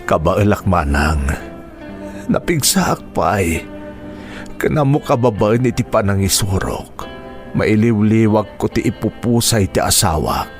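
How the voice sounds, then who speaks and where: Slow-talking, lonely Ilocano widower. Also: male voice, on the low side, animated, soft background music. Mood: resigned, reassuring. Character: lonely, grieving widowed male teacher, gentle and resigned. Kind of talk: Ilocano radio drama acting.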